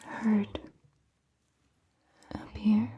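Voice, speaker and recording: A woman playing a caring vampire doctor in an ASMR roleplay, whispering gently and reassuringly in a breathy, hushed voice. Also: mid-range voice, monotone, muffled recording, exaggerated voice. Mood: gentle, reassuring. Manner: gentle, slow, reassuring, softly questioning. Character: caring, attentive female vampire doctor tending a patient. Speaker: ASMR performer. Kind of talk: soft-spoken ASMR roleplay, mostly whispered.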